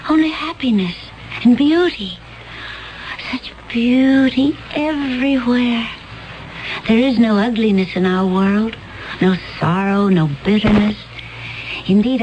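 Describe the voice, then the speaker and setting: An actress playing a gentle, naive alien woman from a peaceful world in a 1970s radio drama. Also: fairly high pitch, a little hiss or hum, lightly acted, low-quality recording. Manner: soft, wondering, naive.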